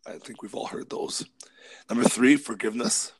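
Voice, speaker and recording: A man co-hosting a casual podcast discussion, hoarse from a cold, talking through list points with whispery asides. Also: medium pitch, normal speed, rough recording. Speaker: podcast co-host.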